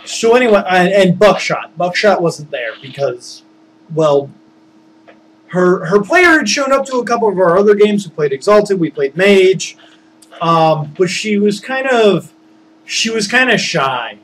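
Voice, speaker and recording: A man casually recounting his tabletop games as game master, rambling and informal, animated when he acts out what people said. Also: high voice, measured pace. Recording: noisy background.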